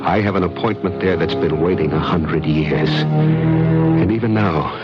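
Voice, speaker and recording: An actor playing a determined, wary American visitor who narrates a radio mystery in a measured, dramatic way. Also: male voice, deep voice, lively intonation. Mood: suspenseful. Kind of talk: old-time radio drama acting and first-person narration. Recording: muffled old radio recording, music behind.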